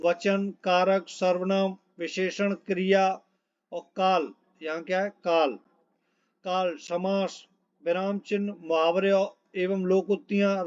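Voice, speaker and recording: A man's voice: high voice, a little mellow, muffled recording. A man giving an informal instructional walkthrough as an exam coach, reading out lists and repeating points with frequent check-ins.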